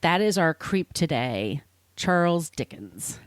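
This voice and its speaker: A woman hosts a podcast in a casual, storytelling way, swearing freely and dropping in asides. Her voice sits slightly low but swings widely in pitch. The sound is close and dry. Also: very animated, slow, dry recording. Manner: informal, swearing, conversational asides. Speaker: podcast host.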